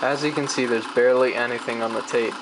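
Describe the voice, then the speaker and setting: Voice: mid-range voice, fairly even intonation, fast. A person chatting casually and off the cuff while demonstrating a vintage machine, rambling and muttering to themselves as they work. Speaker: hobbyist demonstrating vintage tape recorder. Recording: clearly noisy home video, machine hum.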